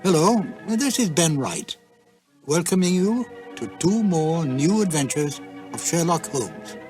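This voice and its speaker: A man's voice: fairly high pitch, very animated, measured pace, darkish tone, soft background music. A man hosting a programme, reading a scripted introduction as narrator. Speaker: host.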